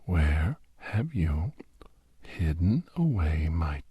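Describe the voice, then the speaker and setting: A man's voice: low voice, big pitch swings, extremely slow, no echo, highs cut off, exaggerated voice. A man playing a menacing, obsessed villainous doctor in a whispered ASMR roleplay, mock-polite and coldly gloating. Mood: mock-polite menace. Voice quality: breathy, husky near-whisper.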